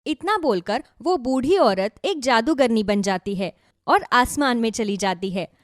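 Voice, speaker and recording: A woman's voice: on the high side, lively intonation, unhurried, no room sound, clean studio sound. A woman narrating a scripted children's moral story as a storyteller.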